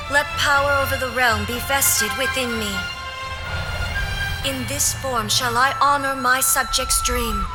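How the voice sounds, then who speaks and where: Slow, solemn regal goddess played by a woman. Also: a little high, close to an everyday voice. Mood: commanding, resolute. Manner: measured, formal, grave. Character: solemn, regal female deity ruler devoted to eternity. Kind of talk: English video game voice acting.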